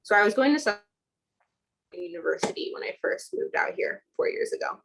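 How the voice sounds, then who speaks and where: A woman's voice: medium pitch, sing-song, a little fast, vocal fry, noisy background. A woman in her twenties from Chicago, a student midwife telling her story as a podcast guest in a chatty way, full of "like" fillers. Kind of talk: casual interview chat.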